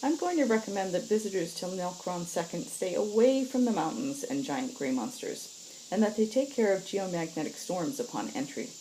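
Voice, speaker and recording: A woman's voice: on the low side, animated, normal speed, mellow, pitched above the performer's own voice, close to an everyday voice, rough recording. A woman narrator voices a chatty female space scout in a science fiction audiobook. She sounds decisive and matter-of-fact, talking conversationally, with some background noise behind her. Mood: dry. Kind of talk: acted audiobook dialogue.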